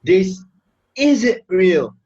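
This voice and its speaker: A man plays a defiant, scared student in a reader's theater drama. The voice is high for a man, and it comes through a dull, lo-fi microphone. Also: very animated, extremely slow, a little mellow, full character voice. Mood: defiant disbelief. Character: defiant frightened student challenging the teacher. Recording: muffled, lo-fi microphone.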